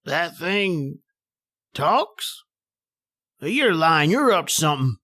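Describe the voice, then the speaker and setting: Man playing high-pitched, excitable small-town sheriff. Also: takes it slowly, full character voice. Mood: startled, disbelieving. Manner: blunt, folksy, barking orders. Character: gruff, suspicious small-town American sheriff, bossy and excitable. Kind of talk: old-time radio horror acting.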